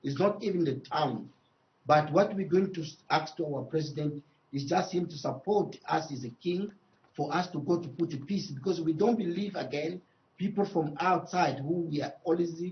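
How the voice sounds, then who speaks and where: A man with a Congolese accent speaking as a traditional leader in a news interview, emphatic, with repeated phrases and halting phrasing. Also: on the high side, muffled recording.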